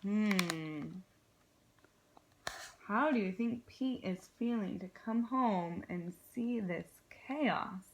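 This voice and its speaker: A woman's voice: medium pitch, lo-fi sound. A woman kindergarten teacher reading a story aloud expressively, guiding young listeners with simple questions and dramatic phrasing.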